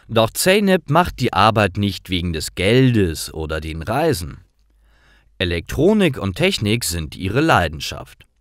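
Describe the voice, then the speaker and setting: Male narrator for learner stories: deep-ish voice, dry close sound, deliberate delivery. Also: no echo.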